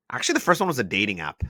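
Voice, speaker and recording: A man from Israel and the Bay Area tells his startup story in a relaxed interview, leaning on "like" as he explains. His voice is low and bright, with a forceful edge. The recording is dry and close. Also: dry recording. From Israel and the San Francisco Bay Area. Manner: explains things with examples, frequent "like" fillers. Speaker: startup founder, guest.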